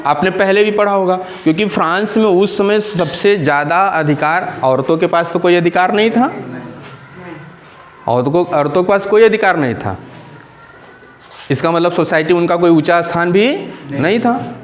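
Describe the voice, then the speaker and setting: Questioning male teacher, faint hum. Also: on the high side. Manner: asks questions and answers them himself; repeats key terms, mixing English and Hindi. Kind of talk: classroom lecture. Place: classroom.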